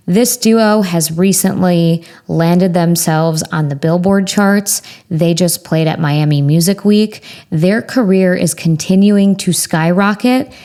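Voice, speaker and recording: Woman podcast host, enthusiastic and conversational, lowish pitch. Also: darkish tone. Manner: enthusiastic, chatty. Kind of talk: podcast interview and intro.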